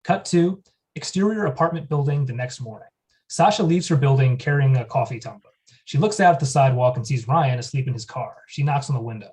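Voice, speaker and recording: A man's voice: medium pitch, fairly quick, a little mellow. A man reading the stage directions at a table read in a plain, matter-of-fact way.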